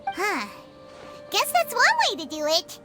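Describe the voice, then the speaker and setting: A woman voicing a tiny, cheeky, excitable fairy companion with a squeaky childlike voice in a video game. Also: a little slow, full character voice. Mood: chirpy. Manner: exclamatory, expressive. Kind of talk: animated video game voice acting. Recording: loud music under the voice.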